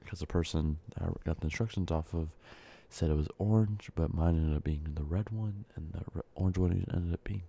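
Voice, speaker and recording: Deep gravelly man whispering, congested. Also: fairly quick, hardly any pauses. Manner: soft speaking drifting into whispers, rambling with fillers. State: sick and congested, short of breath. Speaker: ASMR creator. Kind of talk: soft-spoken ASMR candy-making ramble.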